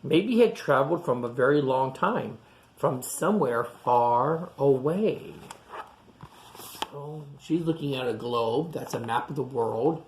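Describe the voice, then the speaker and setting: A man reading a picture book aloud to young children, pausing for simple explanations and questions. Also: medium pitch, expressive, a little mellow, a little hiss or hum. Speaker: storytime reader for young children. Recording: echoey room sound.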